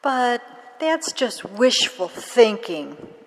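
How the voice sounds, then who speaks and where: A woman's voice: on the high side, very animated, very slow, in a large hall, voice pushed up, exaggerated voice. A woman doing a tearful little girl. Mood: bewildered. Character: sad young girl grieving her cat.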